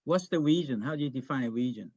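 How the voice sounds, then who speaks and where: A man hosting an informal online group meeting, speaking in a halting, encouraging way over a video call. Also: mid-range voice, expressive, darkish tone, husky. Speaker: meeting host. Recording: muffled, echoey video call audio.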